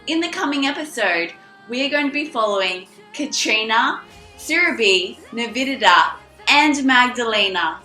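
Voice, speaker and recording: A woman host presents in an upbeat, scripted way. Her voice is highish and bright, and the room around her rings with echo. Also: lively intonation, normal speed. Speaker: host and creator of the challenge. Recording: echoey room, music behind.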